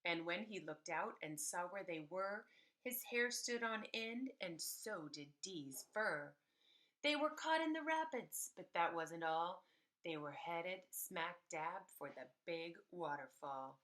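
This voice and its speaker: Lady reading a kids picture book out loud, takes it slow, bright clear-cut expressive delivery, kinda low voice. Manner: animated, emphatic, pausing to explain to children. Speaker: preschool teacher. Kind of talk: expressive read-aloud storytelling for young children.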